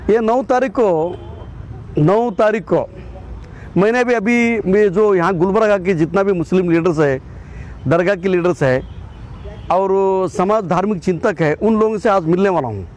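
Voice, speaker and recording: A man's voice: high-pitched, normal speed, a little mellow. A man with a Karnataka accent in his Hindi, giving a combative, impassioned statement as an activist and often repeating his phrases. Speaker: political activist and state president of an organisation.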